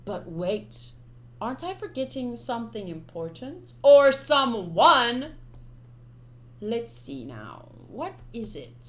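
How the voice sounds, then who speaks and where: A woman streamer playfully reading visual novel text aloud, with casual asides in an expressive delivery. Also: fairly high pitch, slow, slight background noise. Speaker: game streamer. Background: game music.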